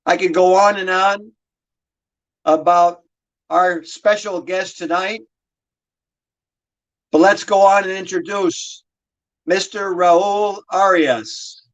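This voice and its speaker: A man hosting an interview show, reading a long guest introduction in a measured way. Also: sits high, fairly even intonation, fairly bright tone, rough recording. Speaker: show host.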